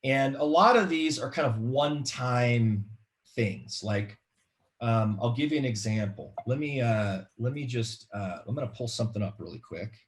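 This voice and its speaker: A middle-aged man with an American accent explaining informally on a video call, halting and repeating words as he goes. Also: mid-range voice. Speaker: webinar guest presenter, marketing expert. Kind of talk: informal explanatory webinar talk. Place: home room, indoors.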